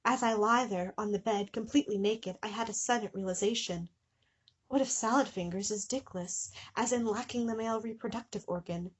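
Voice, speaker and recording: Husky woman doing a comedic dramatic reading. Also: medium pitch, brisk. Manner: breaks into giggles and incredulous commentary. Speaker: host of a comedic fan fiction reading show. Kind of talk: dramatic reading with joking asides.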